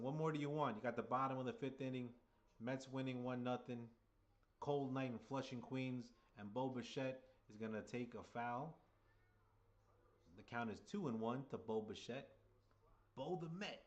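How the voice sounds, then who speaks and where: A man giving casual, chatty play-by-play for a live chat, seated at a table at home, sounding under the weather with a voice getting hoarse. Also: medium pitch. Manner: chatty, hyped, keeps rallying the chat. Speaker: fan livestream commentator.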